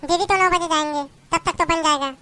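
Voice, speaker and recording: A woman's voice: extremely high pitch, steady tone, fairly quick, sharp tone. A woman playing a young daughter-in-law who pleads with and defends herself against her mother-in-law in a family drama. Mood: pleading. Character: young married daughter-in-law, meek and put-upon.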